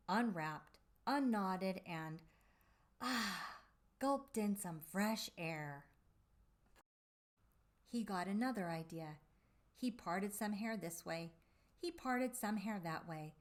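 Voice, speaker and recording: Female children's storybook reader. Unhurried, very melodic delivery, theatrical emphasis, dramatic pauses. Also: medium pitch, expressive. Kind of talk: expressive read-aloud of a children's picture book.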